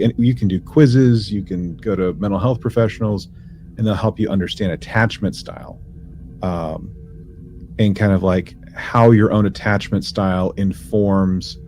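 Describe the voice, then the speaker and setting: A man co-hosting a podcast, explaining things in a halting, informal, slangy way. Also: low voice, a little mellow. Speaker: podcast co-host. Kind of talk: casual explanatory podcast chat.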